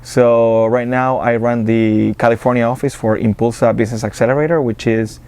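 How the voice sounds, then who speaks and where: A man with an Argentine accent speaks in a mellow, relaxed tone, answering interview questions at an ordinary pitch. He talks in a hesitant way, full of fillers and restarts. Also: normal speed, clear, resonant tone. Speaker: interview guest, business accelerator director. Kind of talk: casual interview talk.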